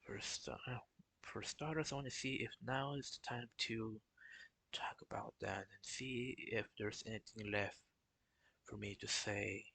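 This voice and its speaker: Gravelly, flat-voiced young man streaming. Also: fairly low pitch, no echo, low-quality recording. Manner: halting, flat delivery with many restarts. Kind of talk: casual solo stream talk.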